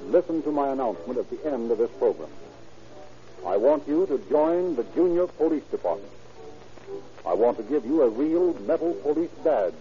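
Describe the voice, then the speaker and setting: An American man making scripted, deliberate, declamatory announcements and narration in 1930s radio style. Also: medium pitch, mellow. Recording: dull 1930s radio recording with music.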